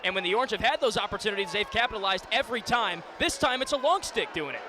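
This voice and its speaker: A man's voice: sits high, lively intonation, fast talker. A man working as colour analyst on a live sports broadcast, explaining plays and giving his opinion. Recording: noisy live broadcast from the game. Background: clear game noise.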